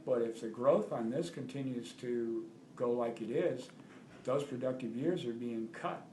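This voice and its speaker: A man's voice: mid-range voice, measured pace, a little mellow. A man in his sixties talks plainly about his situation as a patient. The recording is roomy with faint background noise. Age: 60s. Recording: roomy, noisy.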